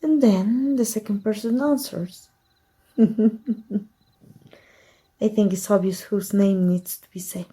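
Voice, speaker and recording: Woman acting a dark, husky, seductive sorceress teacher. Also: mid-range voice, steady tone. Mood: amused, tender. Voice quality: husky, breathy. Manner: slow, hushed, deliberate, seductive. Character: evil female magic teacher, tender, teasing, secretly lovestruck mage. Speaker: voice actor. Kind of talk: intimate ASMR roleplay acting.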